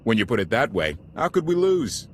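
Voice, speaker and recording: A man's voice: medium pitch, big pitch swings, fairly quick, a little hiss or hum, close to an everyday voice. A man playing a brash, enthusiastic rebel pilot in a sci-fi game, talking casually with wry earnestness. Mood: wry, upbeat.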